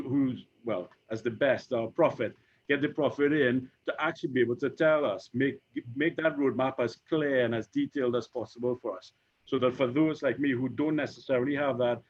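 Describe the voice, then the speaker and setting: A Trinidadian man in his fifties, an insurance executive speaking on a panel, who talks haltingly with many repeated words and fillers. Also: medium pitch. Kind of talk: conversational panel discussion. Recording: dull lo-fi recording.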